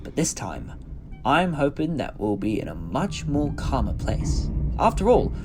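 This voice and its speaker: A man playing a breezy, optimistic time-traveling adventurer in a sci-fi audio drama, with an expressive delivery. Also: medium pitch, measured pace, music in the background.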